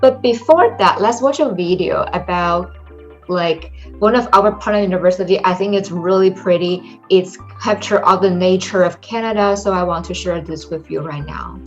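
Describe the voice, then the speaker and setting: Young woman, Chinese accent, lowish voice, careful English with ums, slightly dull sound, faint music behind. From China. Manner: careful, unhurried non-native English with frequent ums. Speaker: presenter for a study-abroad program. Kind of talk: online presentation to an audience.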